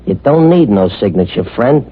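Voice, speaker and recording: A muffled, noisy old radio recording of a cocky hoodlum played by a male actor. His slangy tough-guy talk swings widely in pitch, full of menace and double negatives. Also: animated, moderate pace, natural voice. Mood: menacing, mocking. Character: ugly hoodlum delivering threats, menacing and cocky. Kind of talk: old-time radio crime drama acting.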